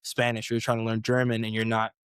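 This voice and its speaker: A man's voice: lowish voice, completely flat delivery, brisk, a bit bright, clear, resonant tone, no echo, clean studio sound. A young American man, a professional footballer, chatting casually as a podcast guest, rambling with many restarts and fillers. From United States. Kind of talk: casual interview chat.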